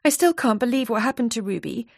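A woman's voice: on the high side, lively intonation, fast talker, creaky, no room sound, clean studio sound, pitched above the performer's own voice. A woman voicing a nervous, chatty female office worker who confides eagerly and a little breathlessly. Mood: confiding.

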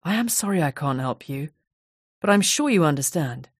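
A woman's voice: low voice, expressive, normal speed, breathy edge, dry recording, studio quality. A woman voicing a middle-aged male office manager who politely but firmly refuses to share information. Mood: guarded.

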